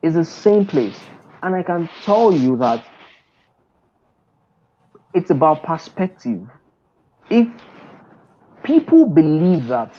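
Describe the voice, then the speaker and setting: Over a dull, lo-fi video call line comes a middle-aged man, speaking at an ordinary pitch for a man. He talks emphatically and insistently, circling back and restarting his sentences as he presses a point. He is a guest on an earphone headset in an indoor room. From Nigeria. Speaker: guest trader and mentor. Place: indoor room, seated in an office chair.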